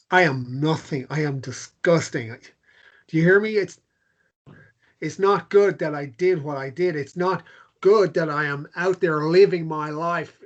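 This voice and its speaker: An actor playing a guilt-ridden married man in a drama table read, speaking in a halting, self-loathing way. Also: on the high side. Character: guilt-ridden married man, caregiver to his ailing wife.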